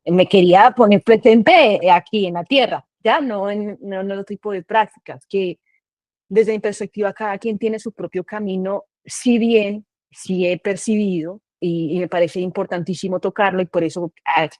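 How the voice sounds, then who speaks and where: A woman's voice: medium pitch, steady tone, normal speed, darkish tone, no room sound. A Colombian woman with a Colombian accent, speaking hesitantly and searching for words in a conversation.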